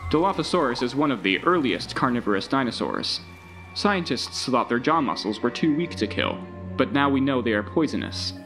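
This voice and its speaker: A man playing a recorded tour guide in an audio drama, with measured, presentational narration. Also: mid-range voice, big pitch swings. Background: music.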